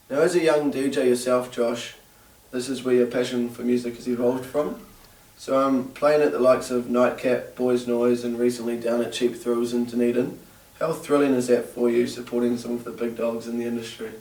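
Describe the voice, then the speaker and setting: A man interviewing his friend, reading steady prepared questions. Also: mid-range voice, normal speed. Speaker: interviewer, friend nominating the guest. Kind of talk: semi-scripted interview questions. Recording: distant roomy camera sound.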